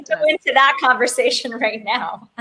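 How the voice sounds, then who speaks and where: A woman's voice: on the high side, normal speed, crowd noise, poor audio. A woman from Colombia co-hosting a talk show in a casual, chatty style. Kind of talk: casual chat.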